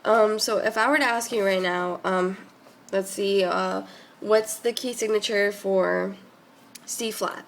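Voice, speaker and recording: A young woman with a stuffy, congested voice explains music in a casual, rambling way, filling gaps with "um" and "like". Her voice has a gravelly edge of fry. A faint hiss sits under the recording. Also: medium pitch, fairly even intonation, measured pace. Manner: chatty, many "um" and "like" fillers. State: congested from a cold. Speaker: music student tutor. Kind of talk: casual tutorial.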